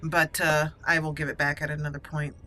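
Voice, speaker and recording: A woman's voice: low-pitched, level tone, a little fast, bright, forceful tone. A woman giving casual roleplay commentary as she plays through a video game. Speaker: gaming channel host.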